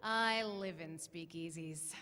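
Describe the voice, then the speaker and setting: A woman's voice: lowish voice, slow pace, pushed and bright. A woman playing a plucky, streetwise 1920s sidekick in a staged drama, plain-spoken, slangy and sassy. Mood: plucky, wry. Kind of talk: audio-drama style stage acting. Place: large convention hall stage. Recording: echoey hall stage recording with noise.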